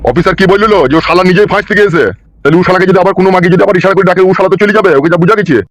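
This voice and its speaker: A hot-tempered middle-aged father played by a man rages through a comic cartoon in a fairly high voice. He harangues and curses at a very rapid clip, scolding without restraint. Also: steady tone, background noise, lightly acted. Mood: stern scolding. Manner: abusive, cursing, haranguing. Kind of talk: comic Bengali cartoon dub.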